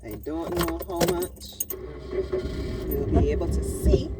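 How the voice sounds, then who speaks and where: A woman talks in a calm, musing way as she heads home at night, her voice sitting a little low. She is recorded on a phone held away from her, so she sounds distant. Loud street and traffic noise runs behind her the whole time. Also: takes it slowly. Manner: calm, musing, soft-spoken. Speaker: vlogger. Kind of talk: casual reflective talk to camera. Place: outdoors at night, travelling home.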